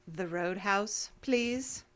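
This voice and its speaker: A woman's voice: medium pitch, very slow. Cool, formal female interrogator. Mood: insistent, dry. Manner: formal, controlled, dry. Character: cool, persistent female investigator, businesslike interrogator. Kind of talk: audio drama acting.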